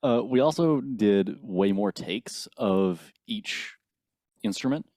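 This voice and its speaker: Low-voiced young man, close dry sound. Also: expressive, slow, warm tone, no room sound. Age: early twenties. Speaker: band bassist, guest. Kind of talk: casual band interview.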